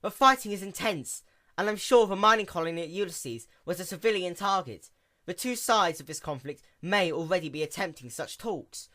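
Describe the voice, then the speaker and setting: Dutiful female sea pony aide for storybook, played by a man. High, bright, formal, earnest briefing. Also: animated, normal speed. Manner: formal, explanatory.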